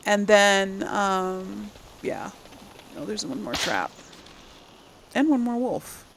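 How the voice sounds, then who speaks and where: Woman gamer with a creaky voice. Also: medium pitch, sing-song, slow pace, background noise. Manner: chatty, thinks aloud. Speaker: gaming channel host playing through a game. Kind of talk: casual let's-play commentary.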